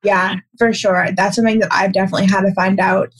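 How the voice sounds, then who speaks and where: A woman's voice: mid-range voice, flat delivery, fast talker, poor audio. A young woman, a college student, hosting a podcast and interviewing a guest in an upbeat way. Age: young adult. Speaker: podcast host.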